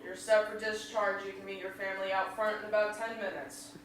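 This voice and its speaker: A woman's voice: medium pitch, level tone, a little fast, a bit bright, natural voice. A woman playing a businesslike, courteous jail officer in a stage drama. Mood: official. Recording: echoey hall, far from mic, faint noise.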